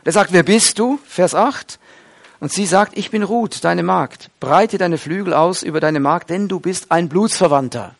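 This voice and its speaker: A man's voice: high-pitched, measured pace, gravelly. A middle-aged man preaching a sermon, explaining a Bible passage in an earnest way with frequent hesitations.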